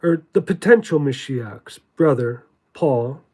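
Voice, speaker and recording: A man giving a contemplative spiritual monologue in a halting, deliberate way, repeating words for emphasis. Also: mid-range voice, animated, darkish tone. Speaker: spiritual teacher reading from own writings.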